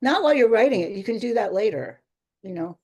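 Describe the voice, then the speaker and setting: A woman's voice: on the high side, lively intonation, fast talker, warm tone, lo-fi sound. Woman, author guest, chatty and discursive, easy laughs. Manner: chatty, rambling, laughs often. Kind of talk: casual interview answers.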